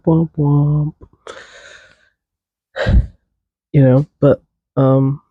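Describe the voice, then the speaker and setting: A man's voice: mid-range voice, vocal fry, warm tone, husky, no room sound, dull audio. A young man hosting a solo podcast, rambling casually and a little congested, drifting off on tangents and humming tunes. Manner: casual, tangent-prone, hums and sings tunes. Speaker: podcast host.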